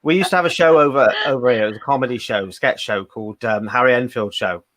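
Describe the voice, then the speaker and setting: A man's voice: medium pitch, quick pace, a bit bright. A British man hosting a live stream from home in Wales, chatting loosely and reading out viewer comments. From Britain. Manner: chatty, many fillers, reads out viewer comments. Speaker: host. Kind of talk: casual live-stream chat. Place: home in Wales, video call.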